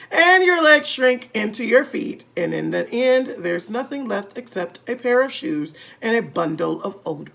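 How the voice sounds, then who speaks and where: A nasty storybook old husband, acted out by a woman reader who bullies with mock gravity and relish. The voice is creaky and emphatic, stretching key words to frighten and taunt. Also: mid-range voice, a bit bright, full character voice. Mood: mock-solemn, menacing. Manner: taunting, mock-serious, bullying. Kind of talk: children's storybook read-aloud.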